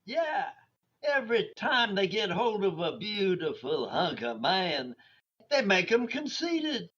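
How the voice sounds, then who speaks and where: A man's voice: high voice, very animated, slow pace, fairly bright tone, slightly rough, full character voice. An actor playing a brash, flirtatious, conceited male bandleader with a Southern accent in a radio comedy. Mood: cocky. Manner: jive-talking, cocky. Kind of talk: 1940s radio comedy acting. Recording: dull online radio-play recording.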